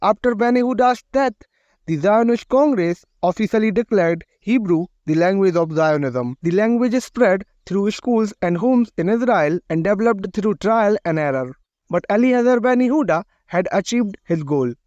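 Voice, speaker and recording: A man with a high voice reads a history explainer in a steady, measured way, letting his phrases fall at the ends. His voice is recorded close and dry, with a slightly dull, muffled tone. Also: no room sound. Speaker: narrator. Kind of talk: scripted documentary narration.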